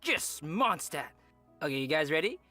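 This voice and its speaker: A man co-hosting a game preview show, presenting updates in a playful, animated way with banter. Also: fairly high pitch, normal speed, dry recording, soft background music.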